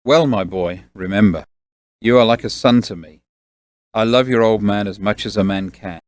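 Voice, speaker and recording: A man playing a loyal, fatherly banker in an audio drama, speaking in a measured, reassuring, avuncular way. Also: on the low side, a little mellow, close to an everyday voice. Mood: reassuring, earnest.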